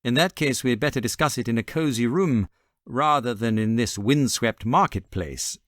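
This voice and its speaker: A British man voicing a suave, confident gentleman detective in a storybook reading, with a smooth, assured manner. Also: medium pitch, normal speed, dry recording, clean studio sound, close to an everyday voice. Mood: courteous.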